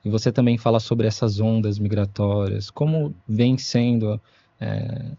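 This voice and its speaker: A man's voice: lowish voice, steady tone, unhurried, warm tone, muffled recording. A Brazilian man co-hosting an interview, asking questions with hesitant phrasing and frequent fillers. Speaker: podcast co-host. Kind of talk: interviewing a guest.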